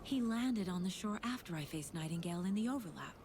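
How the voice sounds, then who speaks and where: An actress playing a resolute, urgent investigator who takes command, in dramatic game cutscene style. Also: female voice, lowish voice, animated, fast talker, background noise. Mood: grave, explaining.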